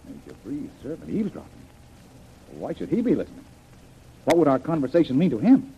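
A man's voice: fairly high pitch, huge pitch swings, brisk. A man playing a shrewd, commanding adventurer with a pointed, probing manner, in an old radio serial. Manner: pointed, interrogating. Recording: muffled, noisy old radio recording.

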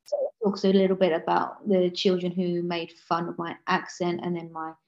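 A woman's voice: lowish voice, level tone, normal speed, a little mellow. Earnest Englishwoman with a dull lo-fi sound. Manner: earnest, many restarts and self-corrections. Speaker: guest author. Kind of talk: casual interview conversation. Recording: slightly dull lo-fi audio.

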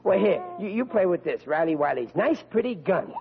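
A man's voice: high-pitched, big pitch swings, normal speed, creaky, exaggerated voice. An actor playing a bumbling, good-hearted young policeman with folksy blue-collar speech in a vintage radio comedy. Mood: cooing, soothing. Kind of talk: 1940s radio comedy acting. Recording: noisy, muffled vintage radio recording.

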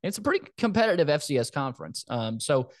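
A man hosting a sports podcast, talking casually through a football preview in a halting way, repeating words and phrases. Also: a little high, sing-song, no room sound.

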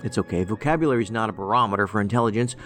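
A man's voice: fairly low pitch, racing, a bit bright, faint music behind, close to an everyday voice. A man playing a quirky, tactless federal agent in an audio drama, talking in a rambling, pedantic, matter-of-fact way. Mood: blunt, analytical.

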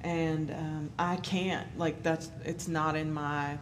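Low-voiced woman singer chatting easily. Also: little pitch movement, takes it slowly. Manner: agreeable, many yeahs and small laughs. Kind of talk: casual interview chat. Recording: noisy background.

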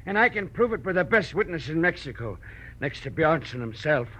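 An actor playing a shifty, hard-drinking American crook in 1940s radio style, rough and slangy. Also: male voice, fairly high pitch, sing-song, fairly quick, sharp tone, heavily acted. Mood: defensive, menacing. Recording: dull, muffled old radio recording.

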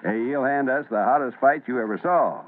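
An actor playing a determined, indignant small-town newspaper editor in an old-time radio serial, speaking earnestly and emphatically. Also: male voice, medium pitch, brisk, natural voice. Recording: tinny, noisy old radio broadcast.

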